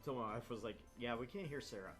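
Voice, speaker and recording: A man's voice: medium pitch, fast, fairly bright tone. A man hosting a gaming channel, joking and swearing casually and reading visual novel text aloud. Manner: joking, profane. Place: room at home, not soundproofed. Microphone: shared open microphone. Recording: open-mic room noise, music behind. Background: music.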